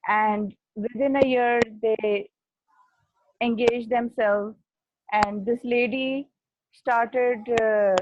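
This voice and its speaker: A woman from Pakistan with a Pakistani accent, an Urdu speaker, talking casually as a guest language trainer and filling her pauses with frequent "uh". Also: on the high side. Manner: unhurried, fills pauses with frequent "uh". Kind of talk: casual conversational talk. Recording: dull muffled audio with faint hiss.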